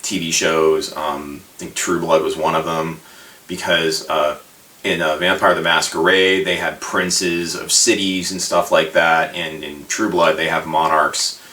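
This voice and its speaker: A man giving a casual, rambling hobby commentary as a video host, halting and informal, often circling back as he talks. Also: a bass voice, level tone, sharp tone. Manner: halting, hesitant, meandering, informal. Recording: roomy echo, distant microphone.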